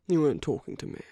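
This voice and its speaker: A woman playing a suave, sly, flirtatious villain in a romantic ASMR roleplay, teasing and intimate in a husky, breathy voice that often drops to a whisper. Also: warm tone, no room sound, natural voice. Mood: smooth, wry.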